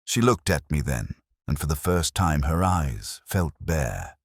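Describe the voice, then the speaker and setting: A man reading a scripted first-person detective story in a solemn, measured, literary way. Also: extremely low pitch, sing-song, no room sound, studio quality. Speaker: narrator.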